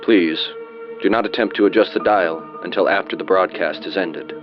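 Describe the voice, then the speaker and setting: Male podcast announcer, deep voice, solemn scripted intro, old broadcast style, dull lo-fi sound, music behind. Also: moderate pace.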